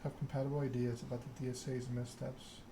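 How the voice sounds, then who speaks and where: A man narrating a story game aloud in ASMR style, reading in a measured way with occasional stumbles. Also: medium pitch, flat delivery, background noise.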